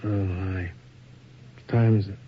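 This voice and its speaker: An actor playing a casual, skeptical photographer boss in a radio drama, husky and offhand in manner. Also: male voice, low-pitched, steady tone, a little slow, slight background noise. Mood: groggy, curious. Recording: dull old radio recording.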